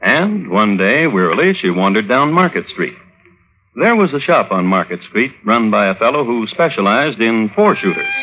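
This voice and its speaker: A man hosting and narrating an old-time radio show in a wry, droll, deliberate storytelling manner. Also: mid-range voice, big pitch swings, slight background noise. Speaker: host and narrator. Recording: tinny vintage radio recording.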